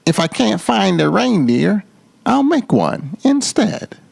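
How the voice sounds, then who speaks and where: Storybook villain: sour old scheming male creature, acted by a man, snarling, gravelly, animated. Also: high voice, takes it slowly, dry recording, pitched above the performer's own voice, exaggerated voice. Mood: gleeful scheming. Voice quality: growling. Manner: snarling, sneering. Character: sour, scheming old male Grinch who hates Christmas.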